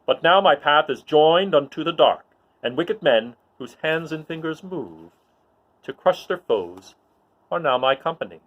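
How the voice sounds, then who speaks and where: A menacing dark lord , played by a man, declaims mock-Shakespearean verse. He is commanding and brooding, with loud, swelling intonation on a slightly muffled recording. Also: on the high side, animated, measured pace, pitched above the performer's own voice.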